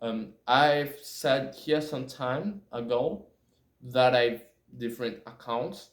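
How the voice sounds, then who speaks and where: A Brazilian man with a Portuguese-speaker's accent talks casually in an echoey room. His pace is slow and his pitch sits in the middle of the male range. He halts, hunts for words and corrects himself as he goes. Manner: halting, self-correcting, searching for words. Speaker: video creator. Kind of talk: casual talk to camera about language learning.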